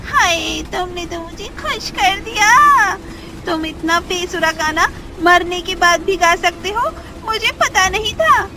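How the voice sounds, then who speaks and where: A woman voicing a flirty, bossy, quick-tempered witch ghost in a cartoon, with a shrill, nagging delivery. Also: lively intonation, heavy background noise, heavily acted. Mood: teasing. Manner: nagging, teasing. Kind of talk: animated horror-comedy story acting.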